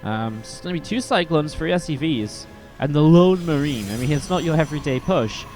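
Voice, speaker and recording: A man commenting play by play on a strategy video game match, a little sniffly from a cold, steady and then more excited in the fights. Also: a little high, fairly quick, faint music behind. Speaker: esports caster.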